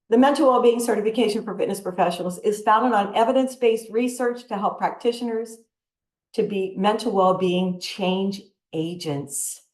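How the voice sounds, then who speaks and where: A woman leading a laughter yoga session, giving breathing cues and breaking into loud laughter often. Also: mid-range voice, reverberant, low-quality recording. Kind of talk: guided exercise instruction. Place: video call from home. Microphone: computer mic on a video call.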